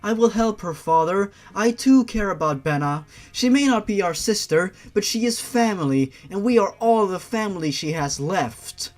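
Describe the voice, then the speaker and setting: A man as a polite, high-pitched caring sister. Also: animated, normal speed, few pauses. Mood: earnest, pleading. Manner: formal, polite, earnest. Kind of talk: story reading in character.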